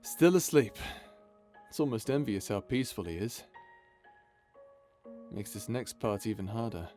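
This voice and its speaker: A man acting in an ASMR audio drama as a caring, steady space pirate captain with a casual, teasing, reassuring manner. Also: fairly low pitch, a little slow. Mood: fond, teasing. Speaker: voice actor in audio roleplay. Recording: music under the voice. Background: music.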